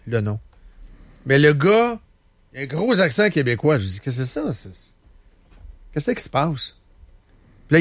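A man's voice: mid-range voice, dry recording. A man with a Quebec French accent hosting a radio show, telling stories in a rambling way with many fillers. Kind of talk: casual talk radio chat.

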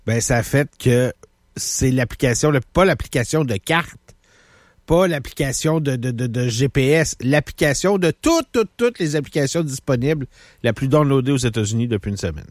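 Quizzing radio co-host. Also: male voice, mid-range voice, lively intonation, normal speed, dry recording, studio quality. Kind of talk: casual talk radio chat.

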